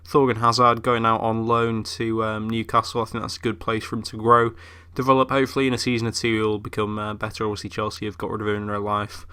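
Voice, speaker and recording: A man's voice: on the low side, monotone, quick pace, hardly any pauses, pushed and bright, clean tone. A young man who is ill, chatting casually through game commentary with lots of fillers. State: ill, voice a bit off. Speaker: gaming YouTuber.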